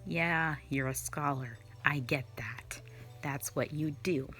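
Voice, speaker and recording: Woman doing a cold inhuman slayer girl, low voice, slow and mocking, soft music behind. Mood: scornful. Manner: dismissive, mocking, blunt. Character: cold, sardonic female nonhuman slayer, young adult. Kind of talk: audio roleplay drama.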